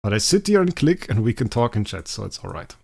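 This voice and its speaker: A man's voice: fairly low pitch, big pitch swings, fast talker, vocal fry. German-speaking man thinking aloud on stream. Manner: thinking aloud, often trailing off quietly. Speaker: game streamer. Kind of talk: casual livestream commentary.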